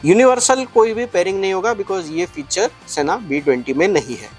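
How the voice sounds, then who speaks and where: A man's voice: high voice, normal speed, faint music behind. A man gives a casual step-by-step product tutorial, explaining things and mixing in English phrases. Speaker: product reviewer and shop presenter.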